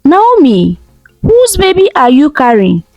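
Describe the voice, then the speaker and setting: A woman's voice: sits high, wildly swooping pitch, measured pace, no echo, dull audio, faint music behind, exaggerated voice. Hopeful young husband, as a woman reads him. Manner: warm, hopeful.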